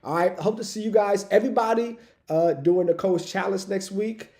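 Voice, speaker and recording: A man hosting a livestream with a cold, raspy and stuffy-nosed, talking casually and hyping up his chat. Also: high-pitched, normal speed, dark tone. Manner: upbeat, hypes the chat, says "y'all". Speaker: livestream host.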